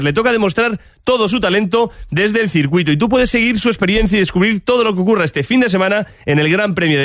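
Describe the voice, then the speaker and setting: A man's voice: sits high, a bit bright, no echo, clean studio sound. A male announcer, reading a scripted promo with energy. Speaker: advertisement announcer. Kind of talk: scripted ad read.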